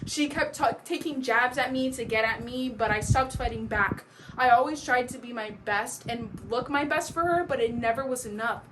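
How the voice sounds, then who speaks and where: A woman's voice: on the high side, normal speed, voice pushed up, lightly acted. A young woman playing a bitter, wounded, neglected daughter in an emphatic, aggrieved stage soliloquy. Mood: hurt, resigned. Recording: clearly noisy amateur recording.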